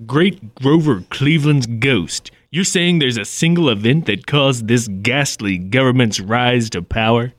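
An actor playing a brash, folksy ace pilot hero in a retro radio play, with old-fashioned melodramatic delivery. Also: male voice, mid-range voice, measured pace, hardly any pauses, no echo, lightly acted. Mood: brash, indignant. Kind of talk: 1940s-style radio play acting.

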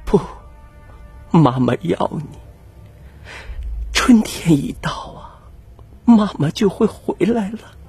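A woman voicing a tender, sorrowful, self-sacrificing mother wolf, gently coaxing and soothing in an audio drama. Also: on the low side, expressive, slightly rough, soft background music, pitched above the performer's own voice, exaggerated voice. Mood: sad, soothing. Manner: slow, gentle, coaxing speech with sighs.

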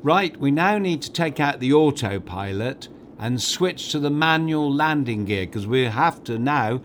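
A man's voice: mid-range voice, a little slow. A man reading scripted narration as a host, giving step-by-step instructions straight to the listener as if guiding them through a flight. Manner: instructive, addresses listener directly. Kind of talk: scripted second-person guided flight narration. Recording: steady aircraft cabin noise behind. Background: aircraft ambience and engine hum.

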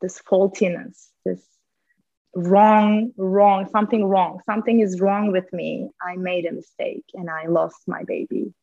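A woman speaks earnestly about personal matters as a guest, with a gravelly fry in her voice. She often repeats words for emphasis. The recording sounds dry and a little dull. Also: mid-range voice, fairly even intonation, measured pace, mellow, no room sound. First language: Turkish. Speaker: podcast guest, linguist. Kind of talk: candid personal conversation.

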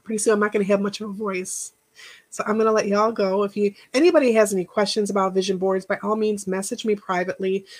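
A woman hosting a casual live chat, rambling and encouraging, thanking viewers by name, with a stuffy nose and a voice that is going hoarse. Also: mid-range voice, a little mellow. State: losing her voice. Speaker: podcast host.

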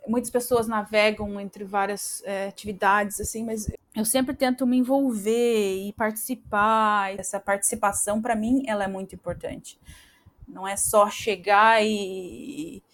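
A woman's voice: mid-range voice, steady tone, unhurried. Chatty Brazilian woman, podcast guest. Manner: chatty, frequent fillers and restarts. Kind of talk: casual interview conversation.

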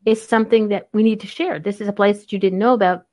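A woman's voice: medium pitch, steady tone, fast, a little mellow, dry recording. A woman in a casual chat, playful and teasing, laughing often.

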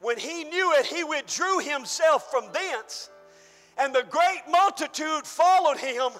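Male pastor, fervent shouting preacher, strained voice, bright edge, echoing church hall. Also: very high voice, expressive, measured pace, faint music behind. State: losing his voice. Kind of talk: sermon.

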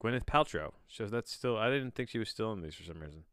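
A man hosting a solo podcast, chatting in a rambling, halting way, often backtracking mid-sentence with a bemused, self-deprecating manner. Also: fairly low pitch, lively intonation, fairly bright tone, clean tone, dry recording, studio quality. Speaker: podcast host.

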